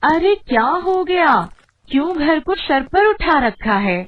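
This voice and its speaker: A woman voicing an excitable, sharp-tongued village wife in a dramatized story, exclaiming dramatically. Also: fairly high pitch, measured pace. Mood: scolding. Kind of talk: dramatized Hindi moral story acting. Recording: dull lo-fi audio with background noise.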